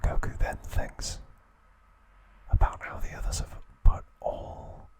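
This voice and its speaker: A man narrating ASMR in a hushed, deliberate whisper, breaking his sentences into short phrases. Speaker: ASMR host.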